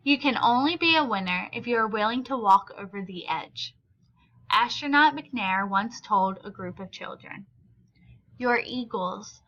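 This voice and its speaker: Lady doing a kids read-aloud, slow and expressive, kinda high voice, slightly dull lo-fi audio. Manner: deliberate, expressive phrasing for young listeners. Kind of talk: children's picture book read-aloud.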